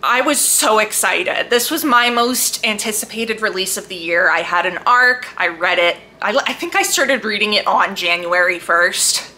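A woman book vlogger chatting casually and enthusiastically to camera, leaning hard on emphatic, drawn-out words. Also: mid-range voice, animated, bright, forceful tone. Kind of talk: casual chat to camera about books.

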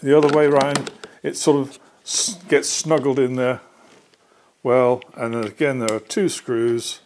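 A British man in his sixties presents a practical workshop tutorial. His voice sits at an average pitch, and he explains each step patiently. There is noticeable background noise with small clicks in the recording. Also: flat delivery, a little slow, a little mellow. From Britain. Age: 60s. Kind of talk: hands-on assembly tutorial. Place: indoor workshop.

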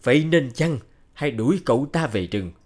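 A man playing a grave, compassionate old village elder in an animated folk tale, speaking in a measured, solemn way. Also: mid-range voice, lightly acted.

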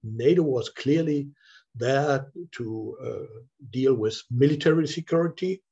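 An older man speaking as a visiting scholar on a video call, giving an informal academic talk in a halting way with many filler sounds, from a small office. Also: mid-range voice, dark tone, breathy edge. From Germany. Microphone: laptop webcam, video call. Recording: dull, muffled video-call audio.

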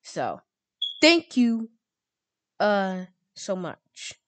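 Slow, halting woman giving a tutorial. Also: medium pitch, very animated, no echo. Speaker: tutorial host. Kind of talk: casual screen-recorded software tutorial.

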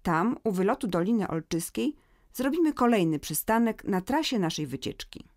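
A woman narrating a scripted educational audio guide in a measured, informative manner. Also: medium pitch, big pitch swings, darkish tone, no room sound, studio quality.

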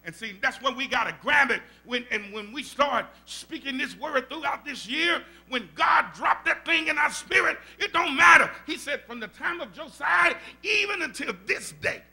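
An older man with a Southern US accent, a pastor teaching Bible study in a church sanctuary, preaching into a stand mic with call-and-response and rising shouts. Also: very high-pitched, animated, normal speed, gravelly, few pauses. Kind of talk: animated preaching. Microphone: stand mic at the pulpit.

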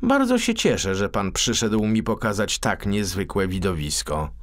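A man's voice: on the low side, big pitch swings, unhurried. A man voicing a bored, capricious young princess in a fairy tale. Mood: polite, pleased.

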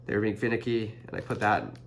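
A young man with a fairly low voice talks straight to the camera, chatty and earnest, stacking up fillers as he explains gear. A faint hum sits under the indoor recording. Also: fairly even intonation, fast. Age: young adult. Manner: chatty, filler-heavy, earnest with frequent "like" and "you know". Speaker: fishing channel host. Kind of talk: casual tackle advice to camera. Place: indoor room in front of a printed banner.

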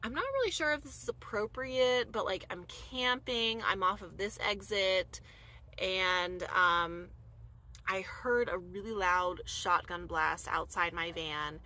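A woman in her thirties from the United States telling a personal story in a casual, animated vlog, full of "like" fillers, filmed inside a dark camper van at night. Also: mid-range voice, slow. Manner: chatty, animated, many "like" fillers. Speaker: vlogger.

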